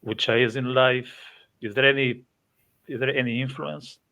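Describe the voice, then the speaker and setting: A man's voice: mid-range voice, steady tone, a little slow, pushed and bright. A man hosting a podcast interview, speaking hesitantly with frequent fillers and restarts. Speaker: podcast host. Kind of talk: casual interview.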